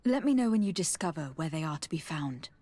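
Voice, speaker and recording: Composed noble envoy, lively intonation. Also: female voice, sits low, fairly quick. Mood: serious. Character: composed, caring young noblewoman envoy, tactful and earnest. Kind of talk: video game dialogue acting.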